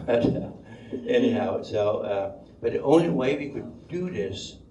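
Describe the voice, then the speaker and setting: An older man from Denmark with a Danish accent tells his life story unscripted, halting and repeating words as he rambles along. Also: mid-range voice, lively intonation, warm tone. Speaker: speaker telling life story. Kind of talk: unscripted oral history storytelling. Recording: dull, roomy, distant microphone, faint noise.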